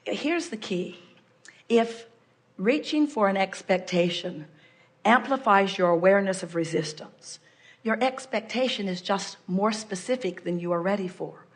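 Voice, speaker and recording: An older woman giving a measured, explanatory seminar talk, referring to herself in the third person. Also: mid-range voice, animated. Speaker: spiritual speaker answering a guest. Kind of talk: live seminar channeling talk.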